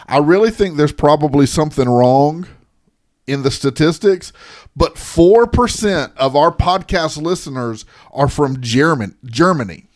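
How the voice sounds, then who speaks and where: A man's voice: on the high side, expressive, a little slow. A man from the Southern United States co-hosting a podcast in casual chat, rambling and restarting his sentences, often teasing his co-host.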